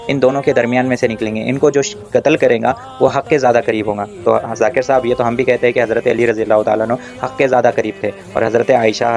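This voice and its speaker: A man's voice: mid-range voice, vocal fry. A man giving an argumentative religious rebuttal, addressing his opponent directly in a taunting, confrontational way.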